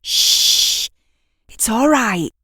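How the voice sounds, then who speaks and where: A woman voicing a caring, anxious girl in an audio drama, coaxing in an urgent, hushed manner. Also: a little high, expressive, very slow, breathy edge, dry recording, studio quality, pitched above the performer's own voice, full character voice. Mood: soothing. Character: caring, anxious girl soothing a distressed friend.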